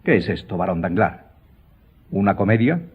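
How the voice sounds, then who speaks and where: An actor playing a proud count and military officer in a radio drama, pompous, formal and insistent. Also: male voice, low-pitched, lively intonation, moderate pace, slightly rough, heavily acted. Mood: indignant. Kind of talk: Spanish radio drama acting. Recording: thin phone-like audio.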